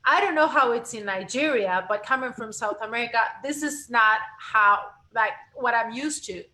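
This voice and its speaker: A woman from Argentina, speaking as a guest voice coach in a lively interview, restarting phrases as she talks. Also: fairly high pitch, normal speed, rough recording. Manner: animated, expressive, frequent self-corrections. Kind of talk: conversational interview.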